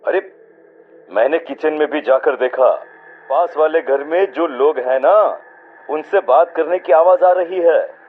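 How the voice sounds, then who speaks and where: A man's voice: fairly high pitch, a little slow. A man playing a reassuring, mildly dismissive young husband in a horror cartoon. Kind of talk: dramatized horror story voice acting. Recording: muffled band-limited audio with music.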